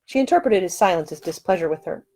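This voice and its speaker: A woman's voice: on the low side, animated, quick pace, mellow. A woman reading her own novel aloud as scripted audiobook narration.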